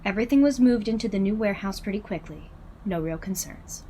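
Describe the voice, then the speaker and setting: A woman playing a composed department head in a crime audio roleplay, giving measured, businesslike reports. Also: mid-range voice, mellow, a little hiss or hum, natural voice. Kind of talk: scripted audio roleplay acting.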